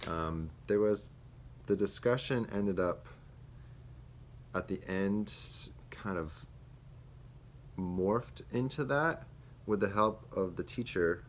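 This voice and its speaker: A young man, a student teacher, reflecting aloud on a lesson he taught, with many pauses and fillers. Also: on the low side. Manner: hesitant, many ums and restarts. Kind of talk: spoken self-reflection. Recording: faint background noise.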